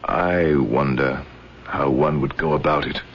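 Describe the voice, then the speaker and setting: An actor playing a brooding, embittered older general in a radio drama, grave and world-weary. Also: male voice, very deep voice, steady tone, a little slow. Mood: brooding, tempted. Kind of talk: old-time radio drama acting. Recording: noisy, dull vintage radio recording.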